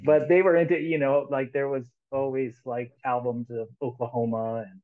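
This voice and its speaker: A man's voice: medium pitch, flat delivery, normal speed. Sixty-something male guest musician on a thin, phone-quality video-call line; chatty and rambling, laughs a lot. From United States. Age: 60s. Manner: rambling, laughs often, many restarts. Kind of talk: casual interview over video call. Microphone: headphones on a video call.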